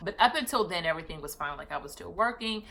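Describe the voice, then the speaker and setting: A woman in her thirties talks straight to her viewers in a loose, chatty vlog style. Her voice sits a little low but comes across bright and forceful. She often stops to correct herself mid-sentence and keeps going. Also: animated, fast, gravelly, a little hiss or hum. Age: 30s. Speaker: vlogger. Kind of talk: casual personal vlog storytelling.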